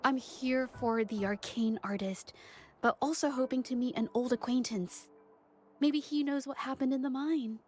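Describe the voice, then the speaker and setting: A woman's voice: sits high, normal speed, a little mellow, a little hiss or hum, close to an everyday voice. A woman playing a shy, earnest young artist in a video game, speaking in a hesitant, apologetic way.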